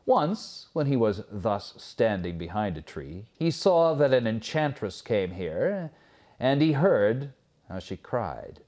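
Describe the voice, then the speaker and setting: Animated male fairy-tale storyteller. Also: on the low side. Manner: dramatic, expressive reading with pauses. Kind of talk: scripted fairy-tale narration.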